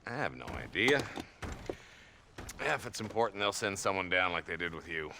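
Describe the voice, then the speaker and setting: An actor playing a weary, sardonic older Western marshal in a game cutscene, with a folksy American Southern accent. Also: male voice, deep voice, animated, moderate pace, bright, forceful tone, heavily acted. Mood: bemused, weary. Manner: dry, sarcastic, folksy. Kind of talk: video game cutscene acting. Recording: clear background noise.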